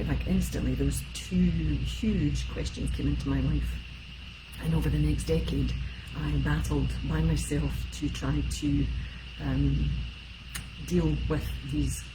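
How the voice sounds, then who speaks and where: A woman's voice: low-pitched, steady tone, measured pace, background noise. A Scottish woman in her fifties, an emotional support specialist giving a chatty, rambling live-streamed talk with many fillers. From Scotland. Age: 50s.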